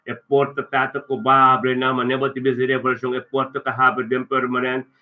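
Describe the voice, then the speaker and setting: A man's voice: medium pitch, monotone, normal speed. Phone-like recording, man praying. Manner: repetitive, invocatory, punctuated by refrains. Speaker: prayer leader. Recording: thin narrow phone-like sound.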